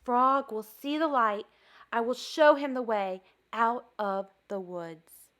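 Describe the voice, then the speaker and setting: A woman reads as a fretful storybook toad, a loyal little fellow who frets over his friend. The voice sits high and moves slowly, with dramatic, emphatic delivery. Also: fairly bright tone. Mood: determined. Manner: dramatic, emphatic worrying and questioning.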